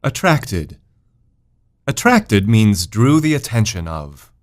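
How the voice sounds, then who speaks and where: A man's voice: on the low side, big pitch swings, takes it slowly. A man reading vocabulary words, their meanings and example sentences from a script for learners. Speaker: vocabulary narrator.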